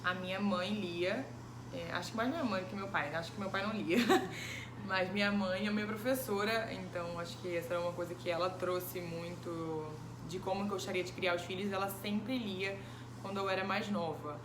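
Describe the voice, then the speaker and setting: A woman's voice: medium pitch, fairly even intonation, normal speed. Hesitant woman in her twenties. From Brazil. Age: 20s. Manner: thoughtful, many hesitant fillers. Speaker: interviewee. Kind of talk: casual recorded interview. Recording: echoey room with background noise.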